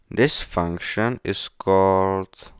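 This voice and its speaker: Very slow, low-voiced Czech man. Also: clear, resonant tone, no room sound. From Czech Republic. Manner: halting, hesitant non-fluent English. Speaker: game developer live streamer. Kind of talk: casual live coding stream chat. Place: indoors at a computer, keyboard clicks behind.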